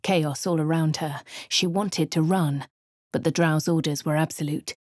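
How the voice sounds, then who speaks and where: A posh English adventurer heroine. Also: female voice, deep voice, steady tone, moderate pace, a little mellow, no room sound, clean studio sound. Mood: composed. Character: posh young female adventurer hero. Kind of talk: game voice lines and narration.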